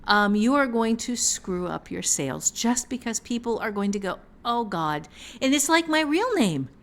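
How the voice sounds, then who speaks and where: A woman's voice: a little high, big pitch swings, normal speed. A woman hosting a casual podcast conversation about writing, speaking frankly with the odd mild swear. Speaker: podcast host and fiction writer.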